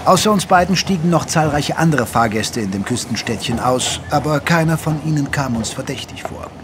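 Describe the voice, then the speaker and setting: An actor as the narrator of a German audio drama, telling the story in a measured, literary way. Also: male voice, fairly high pitch, lively intonation, noisy background, close to an everyday voice. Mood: measured, descriptive. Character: detective's companion narrating the tale. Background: music.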